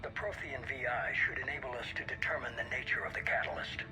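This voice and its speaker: An actor playing a cold, measured, commanding leader of a shadowy organisation in a video game. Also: male voice, mid-range voice, lightly acted. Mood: measured, calculating. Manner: measured, authoritative, dismissive. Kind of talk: video game cutscene acting. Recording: music behind the voice.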